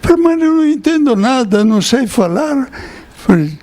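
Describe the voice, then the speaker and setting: An older Italian-born priest, a man, telling his memories slowly in an interview, with small chuckles. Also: extremely high pitch, very animated, a little mellow. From Italy. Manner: slow storytelling with chuckles.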